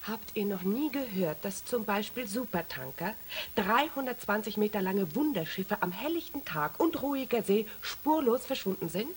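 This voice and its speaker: A woman's voice: mid-range voice, lively intonation, normal speed, slight background noise, close to an everyday voice. A woman playing a sceptical, outspoken shuttle crew member in a German science fiction radio drama, talking in a colloquial, argumentative way. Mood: suspicious, insistent.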